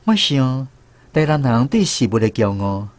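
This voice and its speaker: A man's voice: mid-range voice, sing-song, moderate pace, no echo, close to an everyday voice. A man with a Taiwanese accent playing a reflective troupe director who narrates his memories in a scripted radio drama. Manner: storytelling, reminiscent. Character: reflective male troupe director recalling touring days. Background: music.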